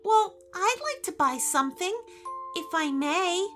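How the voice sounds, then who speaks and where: A woman voicing a polite, gentle little girl in a children's story, timid and hesitant. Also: very high-pitched, expressive, unhurried, music in the background, pitched above the performer's own voice. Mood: hesitant, hopeful.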